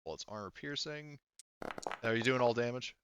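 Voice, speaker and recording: A man working as game master, narrating a tabletop roleplaying game casually and haltingly as he thinks aloud over the dice. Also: mid-range voice, noisy background. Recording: slightly muffled dry voice recording.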